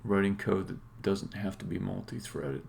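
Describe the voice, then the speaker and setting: A man, middle-aged, a game developer talking casually and opinionatedly through a live-coding stream, halting at times and then emphatic. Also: sits low, monotone, vocal fry, darkish tone.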